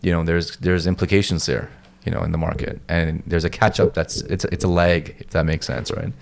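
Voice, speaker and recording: A man hosting his podcast in a studio, talking in a relaxed, casual way with lots of "um" and "you know" and repeated words. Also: low-pitched, a little fast, creaky, slight background noise. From Toronto area, Canada. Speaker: podcast host. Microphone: studio headphones and mic.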